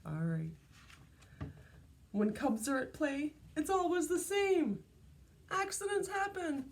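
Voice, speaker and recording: Female kindergarten storyteller: high voice, slow pace, expressive read-aloud delivery. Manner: deliberate, exclaiming, prompting young listeners. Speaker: teacher reading to kindergartners.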